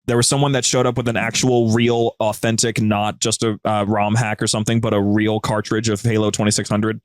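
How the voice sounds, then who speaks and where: A man's voice: lowish voice, flat delivery, a little fast, clean tone, no echo, studio quality. A man chatting casually as a podcast guest, enthusiastic and slangy in how he talks. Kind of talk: casual chat.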